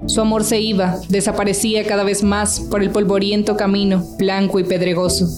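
A woman's voice: mid-range voice, flat delivery, fairly quick, music in the background. A woman delivering scripted narration as the storyteller of a radio drama.

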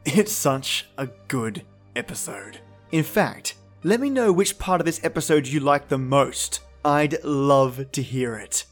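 A man introduces a podcast episode with scripted enthusiasm, speaking directly to his listeners. His voice sits a little high. Faint music runs underneath. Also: expressive, a little slow. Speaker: podcast host.

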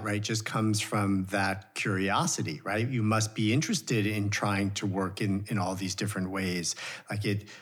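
A man with a low voice hosts a podcast conversation. He asks admiring, searching questions and lets them unfold. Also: husky. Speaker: podcast host. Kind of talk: interview questions.

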